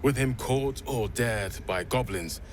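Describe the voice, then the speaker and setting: A man's voice: medium pitch, slow pace, a little hiss or hum, close to an everyday voice. An actor playing a sober, dutiful man in a fantasy video game. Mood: grave. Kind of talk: video game cutscene acting.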